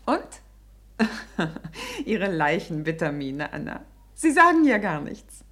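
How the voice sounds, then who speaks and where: An actress playing a middle-aged, well-to-do wife in a German radio drama, speaking in a reflective, self-critical way with a breathy voice. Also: female voice, fairly low pitch, sing-song, slow pace, fairly bright tone, dry recording, full character voice. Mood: breezy, vain. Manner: reflective, hesitant, confessional. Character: wistful, self-critical well-to-do wife recalling her past.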